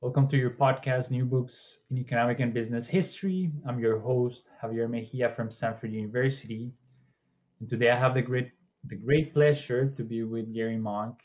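A young man hosting an interview over a video call, asking friendly, slightly halting questions. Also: medium pitch, steady tone, a little mellow, dull audio. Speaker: podcast host. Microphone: video call webcam.

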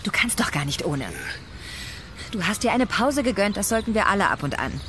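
A knowing, self-assured female recruiter in an audio crime drama. Her mid-range voice presses insistently and coolly. Outdoor park noise is clearly heard around her. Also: mid-range voice, very animated, fairly quick, a bit bright. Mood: persuasive, challenging. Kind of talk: German radio crime drama acting. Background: outdoor park ambience.

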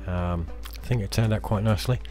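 A man, slightly hoarse from losing his voice, casually talking through his city-building game with lots of fillers. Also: lowish voice, fairly quick. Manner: relaxed, self-deprecating, many fillers. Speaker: let's play host. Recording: music playing behind the voice.

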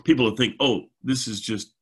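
A man's voice: mid-range voice, brisk, breathy edge. A middle-aged American man hosting a casual, chatty discussion over a video call, with an enthusiastic manner. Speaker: show host. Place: home, indoors, video call.

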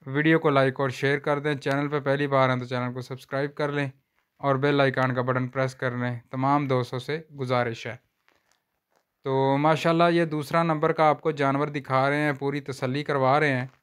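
A man gives a flat, even-toned sales walkthrough of cattle on an outdoor farm. His voice is smooth and clear, and he talks in steady, unhurried patter. He is recorded on a handheld phone. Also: medium pitch. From Pakistan. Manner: repeats a stock religious phrase often. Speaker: livestock seller vlogger.

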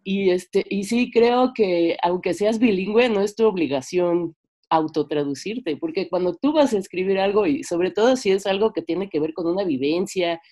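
A woman's voice: mid-range voice, moderate pace, dark tone. A young woman with a Mexican accent speaks informally and hesitantly on a video call, often checking with a tag question. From Mexico, French-Mexican. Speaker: seminar participant, filmmaker. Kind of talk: informal sharing in an online discussion. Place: bedroom, indoors on a video call. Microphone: computer microphone on video call.

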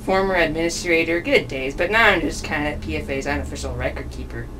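Woman playing a grumpy, deadpan low-voiced woman. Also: fast, lightly acted. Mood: sardonic, grumpy. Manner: deadpan, offhand. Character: blunt, grumpy, sardonic female witness. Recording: distant mic with clear background noise.

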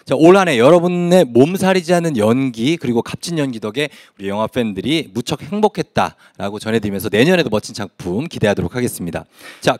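A man's voice: on the high side, dry recording. A man in his thirties hosts a live panel show from a stage. His voice rises and falls a lot as he jokes with the other panelists. The sound is dry and close on a handheld mic. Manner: lively, playful banter. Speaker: host.

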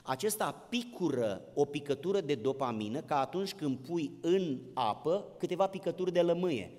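A man's voice: fairly high pitch, a little mellow. A man preaching a sermon in a plain-spoken, didactic manner, addressing his listeners directly. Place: large indoor hall with long reverb. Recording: large reverberant hall.

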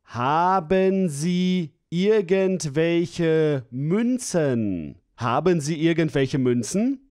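A man's voice: a little high, lively intonation, very slow, a little mellow, dry recording, studio quality. A man reading phrases for a language course, splitting and repeating them with careful enunciation. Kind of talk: slow, clear phrase-by-phrase repetition.

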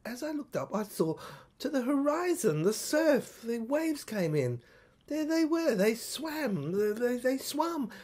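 Female narrator for dramatic audio story: unhurried, halting delivery with very mobile intonation and repeated phrases. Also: a little high, warm tone, slightly rough.

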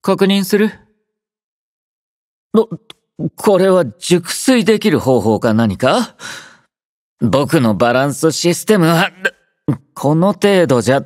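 An actor playing a gentle, quietly caring young male android soldier in a Japanese game, soft-spoken, drowsy and composed, with a mildly teasing manner. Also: on the high side, very animated, slow, pauses often, no echo, studio quality. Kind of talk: Japanese game character voice acting.

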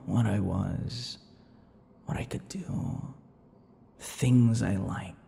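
A man's voice: fairly low pitch, darkish tone, big-room sound, heavily acted. A man playing an obsessive, vain, sadistic vampire lover in an intimate ASMR roleplay, drawing out words and slipping into whispers. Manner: slow, breathy whispers and giggling, drawn-out words. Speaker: roleplay voice actor.

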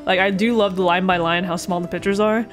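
A woman's voice: on the low side, fairly even intonation, quick pace. A young woman streamer giving casual, rambling reaction commentary on a story game, giggling and trailing off mid-thought, with faint game music behind. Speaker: let's play streamer.

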